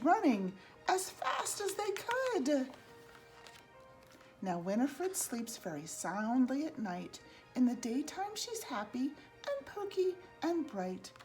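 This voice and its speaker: Slow, very lively woman reading a children's story. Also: on the high side, low-quality recording. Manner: expressive, singsong, deliberate delivery. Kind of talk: read-aloud children's storytelling in rhyme.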